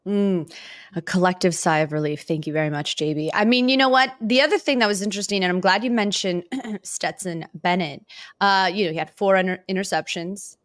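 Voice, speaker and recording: A woman hosting a casual football interview podcast, talking in a loose, halting way as she thinks aloud. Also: medium pitch, no room sound, clean studio sound. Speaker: sports podcast host.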